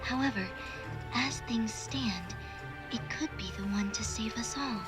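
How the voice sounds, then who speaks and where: A woman's voice: fairly high pitch, a little slow, lightly acted. A woman voicing a solemn, calculating young female artificial intelligence in a video game, formal and detached. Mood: solemn, measured. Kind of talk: video game cutscene acting. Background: music.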